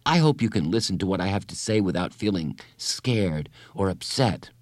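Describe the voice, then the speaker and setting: A kind woman school counselor, voiced by a man narrator, patient and earnest as in a storybook. The phrases are gently reassuring and lively in pitch, in a dry, close sound. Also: moderate pace, no echo. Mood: gently serious.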